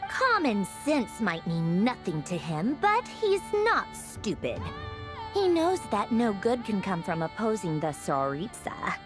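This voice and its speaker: A woman's voice: mid-range voice, wildly swooping pitch, unhurried, music in the background. A woman playing a proud young female scientist villain in an anime game, haughty and theatrical. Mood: disdainful.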